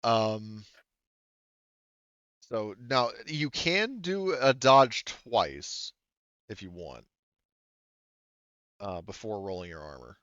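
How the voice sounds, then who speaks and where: A man with a low, smooth voice runs a tabletop game. He talks casually and haltingly, thinking aloud through the rules. The sound is dry and a little dull. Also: big pitch swings, fairly bright tone, dry recording. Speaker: game master. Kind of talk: running a tabletop sci-fi roleplaying game.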